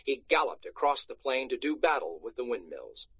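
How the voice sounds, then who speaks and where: A man reading a storybook aloud to schoolchildren in an expressive, dramatic narrating style, on a slightly muffled recording. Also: medium pitch, moderate pace, husky, dry recording.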